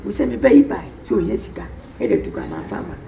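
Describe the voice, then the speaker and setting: A man playing a gravelly old bargainer. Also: sits high, huge pitch swings, rapid-fire, dark tone, full character voice. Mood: persuading. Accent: Ghanaian. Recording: roomy, distant, faint hiss.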